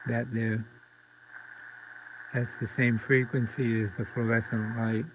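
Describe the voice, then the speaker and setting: A man giving a casual, halting, matter-of-fact hands-on demonstration as a radio repairer. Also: medium pitch, flat delivery. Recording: muffled, very noisy, radio static and hum. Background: antique radio static and interference.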